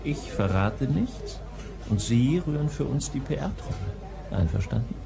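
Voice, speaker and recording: An actor playing a smug, suave hospital doctor with an insinuating manner in a crime radio drama. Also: male voice, medium pitch, expressive, slow pace, creaky. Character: smug, probing male hospital doctor and scientist.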